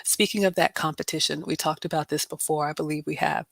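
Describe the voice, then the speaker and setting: A woman's voice: deep voice, steady tone, quick pace, vocal fry, fairly bright tone, no echo. Middle-aged woman host, dry close sound. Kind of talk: personal reflection to a group.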